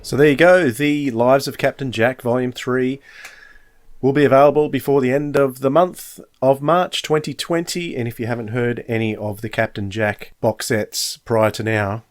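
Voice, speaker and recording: A man's voice: medium pitch, fairly even intonation, moderate pace. A man hosting a review podcast alone, talking casually like an enthusiastic fan. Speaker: podcast host.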